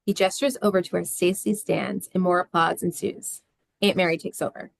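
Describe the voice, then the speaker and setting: Creaky-voiced woman reading stage directions. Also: fairly low pitch, steady tone, moderate pace, no room sound. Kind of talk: scripted narration.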